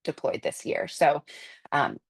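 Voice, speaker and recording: A woman presenting software and answering customer questions in an unscripted webinar, explaining step by step and often correcting herself as she goes. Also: measured pace.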